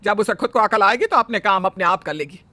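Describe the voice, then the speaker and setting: A man's voice: high-pitched, lively intonation, a little fast, sharp tone, no echo. A man voicing a fussy, bossy middle-aged mother-in-law who scolds and complains. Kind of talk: Hindi family drama voice acting.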